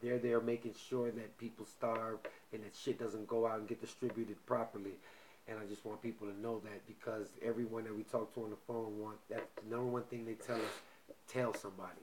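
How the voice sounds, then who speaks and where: A man hosting a podcast in loose, profane casual chat, getting loud and animated when excited. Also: on the low side, a little fast, a little hiss or hum, rough recording. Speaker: podcast host.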